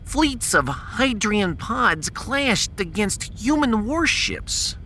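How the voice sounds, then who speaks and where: A man's voice: high voice, very animated, drawn-out words, sharp tone, slight background noise, voice pushed up, exaggerated voice. A man playing a proud, pedantic scientist in a science fiction audio drama, long-winded and dropping his articles. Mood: explaining.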